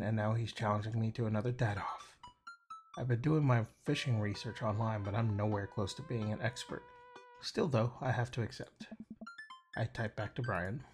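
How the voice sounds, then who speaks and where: A man livestreaming a game, casually reading dialogue aloud and sometimes stumbling over the lines in an informal, hesitant way. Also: mid-range voice. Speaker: game streamer. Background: game music.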